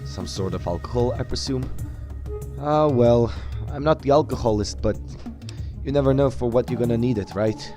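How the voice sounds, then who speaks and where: A man roleplaying his video game character aloud, speaking in a halting, polite and dramatic way. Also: mid-range voice, mellow. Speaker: gamer voicing his player character. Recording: game music behind the voice.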